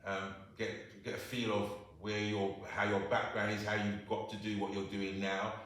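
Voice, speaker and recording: A middle-aged man hosting a school interview show, asking questions in a halting way with many repeated words, seated in a classroom. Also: on the low side, level tone, a bit bright. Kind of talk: casual interview. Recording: echoey classroom, far from mic.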